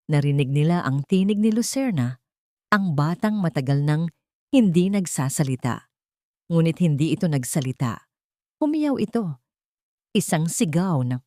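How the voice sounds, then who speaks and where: A woman's voice: sits low, a little mellow, no echo, clean studio sound. A woman narrating a scripted story in a dramatic style.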